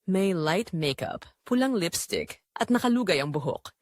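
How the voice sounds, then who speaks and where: A woman's voice: fairly low pitch, expressive, a little slow, dry recording, clean studio sound. A woman narrating a scripted dramatic story.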